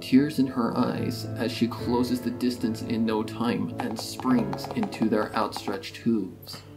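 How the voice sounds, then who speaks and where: A man reading scripted dramatic story narration in a measured, solemn manner. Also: medium pitch, flat delivery, poor audio. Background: guitar music.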